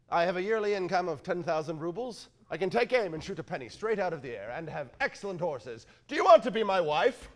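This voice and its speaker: An actor playing an impulsive, lovesick landowner who stammers and swings between shouting and murmuring on stage. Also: male voice, fairly high pitch. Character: lovesick blustering male landowner, impulsive and excitable. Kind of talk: stage play performance.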